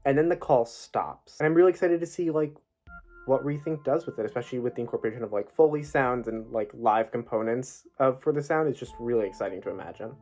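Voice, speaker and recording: A man's voice: mid-range voice, fast talker, a little mellow. A man talking as a playwright in a casual, keen promotional interview about his own work. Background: music.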